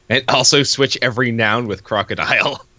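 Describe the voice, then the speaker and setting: A man's voice: medium pitch, pushed and bright, no echo. A man from Canada co-hosting a comic read-along, chatting casually in a giggly, profane, joking way.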